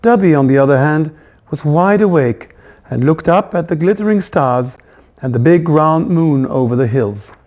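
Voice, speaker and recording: A man narrates a children's story with very animated, swooping intonation. His voice is on the high side for a man, and he reads expressively, as an author presenting his own book. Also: moderate pace.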